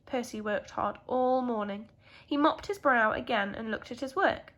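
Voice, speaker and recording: A woman's voice: a little high, moderate pace, a bit bright. A woman with a British accent, a primary school teacher telling a story expressively to young children.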